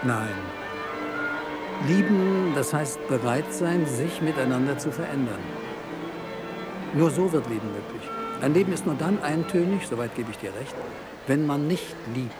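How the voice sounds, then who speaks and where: A man's voice: on the high side, lively intonation, unhurried. An actor playing an earnest man who reasons insistently in a philosophical radio play dialogue, with music behind him.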